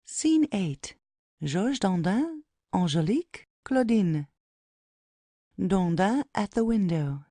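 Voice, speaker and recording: A woman's voice: medium pitch, sing-song, slow, pauses often, dark tone, no room sound, clean studio sound. A woman narrating, reading out stage directions in a scripted style.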